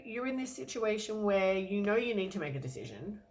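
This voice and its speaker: A woman with a croaky voice from a cold, giving a relaxed, rambling tarot reading to the camera with lots of ums. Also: mid-range voice, brisk. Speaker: tarot reader.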